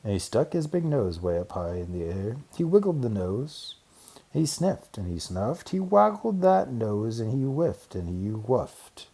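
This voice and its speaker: A man narrating a rhyming children's storybook aloud in an expressive way. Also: mid-range voice, a little slow, vocal fry, breathy edge.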